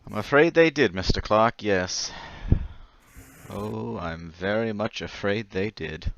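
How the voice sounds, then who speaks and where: An actor playing a timid, eccentric war-veteran lodger in an old-time radio drama, hesitant and stammering with nervous manners. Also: male voice, fairly low pitch, slow, gravelly, a bit bright, dull audio, slight background noise, full character voice. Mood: nervous, meek.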